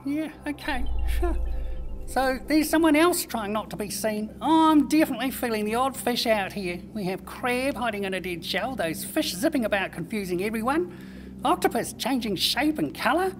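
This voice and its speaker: A woman voicing a curious, excitable young striped fish in a children's puppet show. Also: fairly high pitch, normal speed, faint music behind, natural voice. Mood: excited. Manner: excitable, easily baffled.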